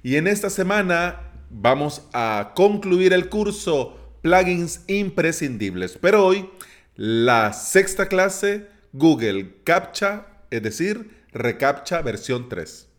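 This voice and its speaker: A man hosts a solo tutorial podcast, taking his time over each point. His voice sits a bit higher than most men's, and he explains things in a chatty, playful way with jokey asides. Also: big pitch swings, takes it slowly. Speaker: podcast host.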